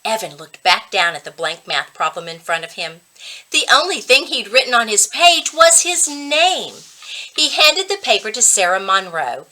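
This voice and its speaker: A woman reading a children's story aloud as an expressive narrator, with dramatic pauses and stretched emphasis on key words. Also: medium pitch, rough recording.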